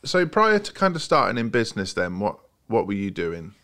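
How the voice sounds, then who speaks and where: A man hosting a podcast, relaxed and jokey, asking questions with many fillers. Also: fairly low pitch, moderate pace. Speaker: podcast host. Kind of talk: casual podcast interview.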